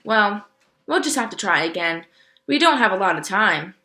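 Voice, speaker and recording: Woman voicing a calm talking tree, storybook, optimistic, earnest, reassuring, bright tone. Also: medium pitch, moderate pace. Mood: encouraging.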